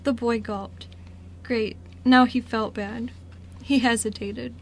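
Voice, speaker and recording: A woman's voice: a little high, fairly even intonation, slow pace. A woman reading scripted story narration for an amateur radio drama. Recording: noisy amateur radio recording.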